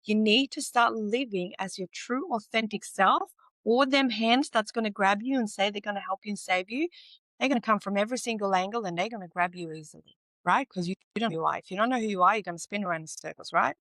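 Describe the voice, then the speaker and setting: A woman from Sweden gives blunt, insistent advice and hammers points home by repeating them and swearing freely. Her voice has a gravelly fry to it. The sound is dry and close, with no room around it. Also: medium pitch, fast talker, a bit bright, dry recording. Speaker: podcast host and teacher. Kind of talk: motivational monologue.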